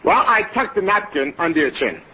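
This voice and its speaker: High-voiced punch-drunk New boxer. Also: male voice. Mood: matter-of-fact. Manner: slow-witted, blunt, deadpan. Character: dim, punch-drunk male ex-boxer, cheerfully literal. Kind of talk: 1940s radio comedy acting. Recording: dull noisy old radio recording.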